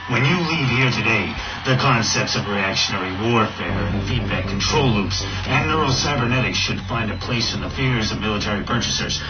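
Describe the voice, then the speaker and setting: A man's voice: fairly low pitch, expressive, fairly quick, few pauses, close to an everyday voice. A man playing an intense, pompous symposium coordinator giving a grandiose, declamatory speech in a radio drama. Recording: distant, dull recording under loud music.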